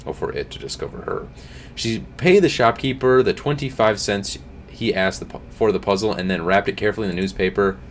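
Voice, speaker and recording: Deep-voiced young man reading aloud. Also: expressive, a little fast, slight background noise. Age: young adult. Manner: reads with slips and restarts, drops to quiet asides. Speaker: streamer reading a story. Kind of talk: reading a scary story aloud with comments. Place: home streaming room, indoors.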